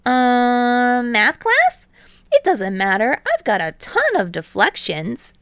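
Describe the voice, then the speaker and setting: Woman voicing an eager, high-pitched teenage boy. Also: slow, clean tone, dry recording, clean studio sound, full character voice. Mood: excited, protesting. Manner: energetic, playful, protesting. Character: eager, bright, teasing teenage boy volleyball player. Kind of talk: audiobook character voice in fan fiction reading.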